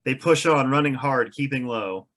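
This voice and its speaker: A man's voice: mid-range voice, normal speed, fairly bright tone. A man reading scene descriptions as the narrator of a script table read.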